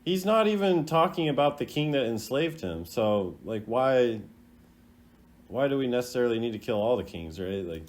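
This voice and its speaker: Casual man with a lively, swinging pitch. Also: moderate pace. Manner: hesitant, rambling, laid-back. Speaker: host ranking game voice lines. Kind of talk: casual unscripted commentary.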